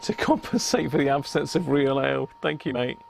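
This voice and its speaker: A man's voice: medium pitch, lively intonation, brisk, creaky, background noise. A British man muttering and thinking aloud in casual live commentary while working at a bench, as a stream host.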